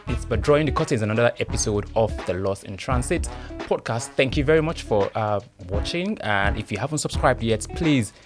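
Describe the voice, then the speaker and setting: A man's voice: mid-range voice, expressive, a little fast, few pauses. A man hosting a podcast interview, politely affirming and thanking his guest. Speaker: podcast host. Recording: music behind voice.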